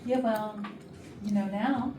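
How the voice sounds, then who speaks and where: A woman playing a blunt, dramatic, excitable office worker in a staged murder mystery comedy. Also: medium pitch, takes it slowly, close to an everyday voice. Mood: coy. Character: feisty, outspoken, excitable female office worker. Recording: echoey, noisy room, far from mic.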